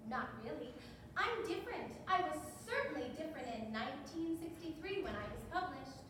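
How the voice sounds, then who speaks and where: Woman as very high-voiced, plucky talking storybook. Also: brisk. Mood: earnest. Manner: earnest, animated explaining. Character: earnest, plucky children's monster book on trial. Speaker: stage actor. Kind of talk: readers theater courtroom performance. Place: large echoey room, live stage performance. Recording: distant, very echoey hall, clear noise.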